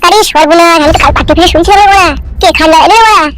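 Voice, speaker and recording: A woman voicing an excitable, bickering villager in a comedy cartoon, whiny, with a pitched-up, sped-up voice. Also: rapid-fire, heavy background noise. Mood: bewildered. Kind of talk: exaggerated cartoon comedy dialogue.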